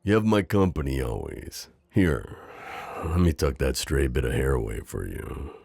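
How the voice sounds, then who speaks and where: Possessive knight and mentor, acted by a man: courtly, gently hesitant, gravelly, recorded very dry and close. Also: a bass voice, no echo, exaggerated voice. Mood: tender, teasing. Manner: formal, courtly, tender, hesitant. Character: protective, possessive gallant knight, mentor to a princess. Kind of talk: intimate roleplay audio acting.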